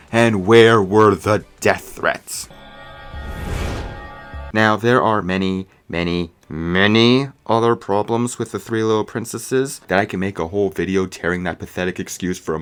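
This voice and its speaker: A man narrating a scripted, opinionated video review in an emphatic, sarcastic, ranting manner. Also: fairly low pitch.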